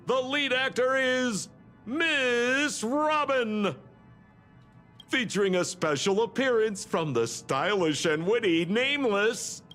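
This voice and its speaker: An actor playing a flamboyant TV announcer, theatrically promoting a grand spectacle in a scripted game narration. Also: male voice, high voice, fairly bright tone.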